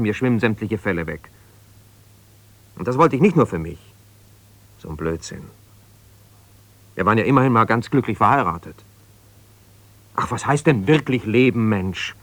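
An actor playing an embittered, ambitious lawyer husband who talks in an argumentative, colloquial way in a naturalistic radio play. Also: male voice, medium pitch, a bit bright, no room sound, close to an everyday voice. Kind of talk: naturalistic radio play, one side of a phone call.

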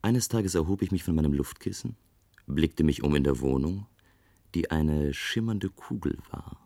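A restless dreamer from a future society in a German radio play. The voice sits extremely low, with a gravelly, husky grain. He muses deliberately, longing for adventure. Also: lively intonation, dark tone, full character voice. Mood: measured narrating. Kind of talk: German science-fiction radio play acting, narrating and dialogue.

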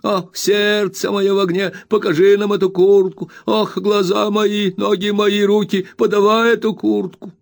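A wailing, crazed old shopkeeper, his voice leaping far above the narrator's into a high, loud, sing-song whine. He drags out his cries slowly and spits out rattling, croaking exclamations. A drunken, half-mad old man from a storybook. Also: level tone. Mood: shrill haggling. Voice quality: whining sing-song, chanting. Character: crazed drunken old male junk dealer, whining. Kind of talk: audiobook character voice.

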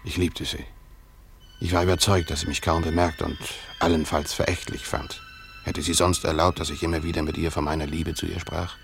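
A brooding former tutor narrating a radio play. His deep, gravelly voice is reflective and halting, over faint music. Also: steady tone, fairly bright tone, exaggerated voice. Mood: lovesick, intense. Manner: reflective, halting, self-questioning.

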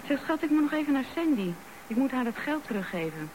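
A brisk, impatient wife in a radio drama. Her voice is fairly high and moves up and down in a lively way, with clear noise on the recording. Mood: brisk, casual.

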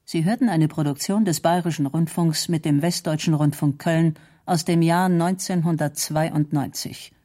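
A woman announcer reading radio drama credits in a measured, formal manner. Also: low-pitched, fairly even intonation, mellow.

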